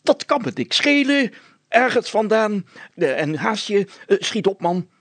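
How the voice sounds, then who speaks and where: A man's voice: high-pitched, big pitch swings, a little slow, fairly bright tone, dry recording, pitched above the performer's own voice, heavily acted. A man voicing a flustered, bossy village mayor in a story, stuttering and spluttering with sneezy speech. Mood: bossy, hurried. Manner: pompous, spluttering, exclamatory.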